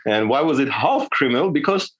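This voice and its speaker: A man's voice: medium pitch, expressive, fairly quick, a bit bright, lo-fi sound. A man with an Armenian accent, a comedian guest chatting casually on a podcast, hesitant, often repeating words and laughing.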